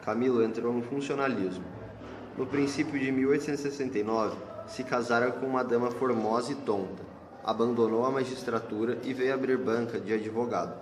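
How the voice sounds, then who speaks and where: A man's voice: medium pitch, fairly even intonation, a little fast. A man narrating a scripted literary story for an amateur radio play. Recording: echoey hall with clear noise.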